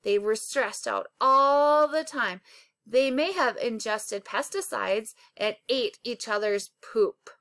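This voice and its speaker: A proud, folksy mom from a children's storybook, voiced by a woman reader, selling her farm eggs. Her voice is high and bright, and she explains things at an unhurried pace. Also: lively intonation, dry recording, exaggerated voice. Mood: persuasive. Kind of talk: children's storybook read-aloud.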